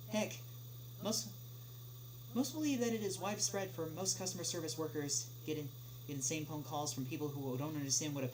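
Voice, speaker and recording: A woman hosting a livestream, reading a script informally and chatting, halting and stumbling over words. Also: deep voice. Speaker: livestream host. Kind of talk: informal script table read and chat. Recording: noisy home livestream with hum.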